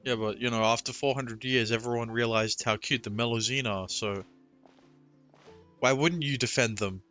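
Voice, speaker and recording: A streamer giving casual, laid-back and halting reactions while playing a game. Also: medium pitch, steady tone, fairly bright tone, faint music behind. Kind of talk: casual livestream gameplay reaction.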